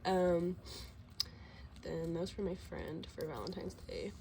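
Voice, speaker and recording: A teenage girl with a slight cold and a slightly low voice, rambling casually with plenty of fillers and self-deprecating asides. She sniffles now and then, and a faint hum of noise sits under her voice. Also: fairly even intonation, measured pace, dark tone, low-quality recording. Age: teens. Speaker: vlogger. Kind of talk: casual sketchbook tour.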